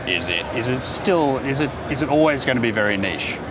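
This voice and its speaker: A man asking casual interview questions in a halting, rambling way. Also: medium pitch, lively intonation. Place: open booth in busy exhibition hall. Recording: distant from mic, noisy hall.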